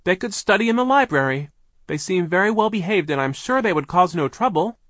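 A man's voice: sits high, expressive, brisk, fairly bright tone, dry recording, studio quality, voice pushed up. A man voicing a nervous, deferential business partner in an audiobook, meek, hesitant and eager to please. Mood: meekly pleading. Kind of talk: audiobook character voice.

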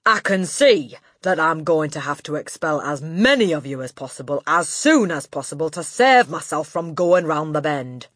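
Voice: mid-range voice, animated, normal speed, hardly any pauses, a bit bright, dry recording, clean studio sound. A storybook narrator voicing a gigantic, tyrannical headmistress who barks and sneers insults with contempt. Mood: contemptuous, commanding. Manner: barking, bellowing, sneering insults.

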